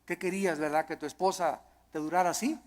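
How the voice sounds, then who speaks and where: A man's voice: on the high side, steady tone, fast talker, darkish tone. A man with a Mexican accent delivering a sermon as a preacher, emphatic, with repeated phrases and rhetorical questions. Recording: long hall reverb.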